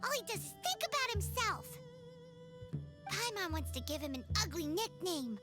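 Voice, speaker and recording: A woman voicing a tiny, childlike, excitable fairy companion in a video game, squeaky and dramatic. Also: normal speed. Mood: peeved, exasperated. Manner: refers to self in third person, bubbly, dramatic. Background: loud game music.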